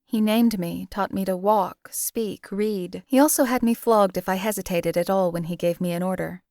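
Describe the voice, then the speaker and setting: A woman voicing a numb, detached female hired killer in a game, who talks in a measured, dry way. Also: mid-range voice, a little mellow, no echo, close to an everyday voice. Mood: bitter, restrained. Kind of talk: video game companion voice lines.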